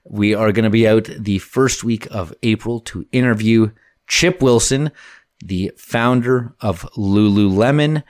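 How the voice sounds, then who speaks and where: A man from Canada who works as a mortgage broker and co-hosts a real estate podcast. He talks in an easygoing, casual way with a touch of humor. His voice sits on the low side, recorded close and dry with no room sound. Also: unhurried, no echo.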